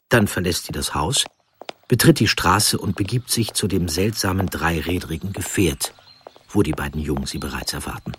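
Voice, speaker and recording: A man reading a scripted historical narration in a measured, articulate manner. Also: sits low, fairly bright tone, slightly rough, dry recording. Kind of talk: scripted documentary storytelling narration. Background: music under the voice.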